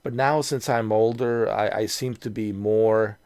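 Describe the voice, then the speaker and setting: A man's voice: lowish voice, steady tone, unhurried, a little mellow, no room sound. A man in his mid-forties talking casually to his viewers in a rambling way, with many restarts and fillers. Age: 40s. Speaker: video creator. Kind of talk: casual nostalgic vlog monologue.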